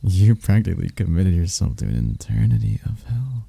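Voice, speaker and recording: A man playing a suave, arrogant demon prince of lust in an intimate ASMR roleplay, with a gravelly, breathy voice and a smooth, taunting manner. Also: fairly low pitch, very animated, dark tone, exaggerated voice. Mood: mocking, menacing. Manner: smooth-talking, taunting, unhurried, dropping into whispers. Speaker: voice actor.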